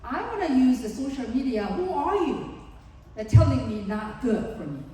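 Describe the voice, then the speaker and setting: A woman's voice: sits high, big pitch swings, normal speed, warm tone, slight background noise. A woman with a Korean accent giving an earnest, explanatory seminar talk to parents as a psychologist, acting out examples as she goes. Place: large conference hall. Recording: distant, echoey hall.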